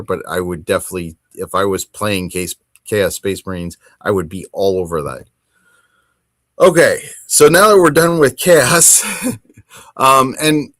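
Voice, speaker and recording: Low-pitched man chatting casually, dry sound. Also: a bit bright, dry recording. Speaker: podcast host. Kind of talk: casual hobby commentary, unscripted.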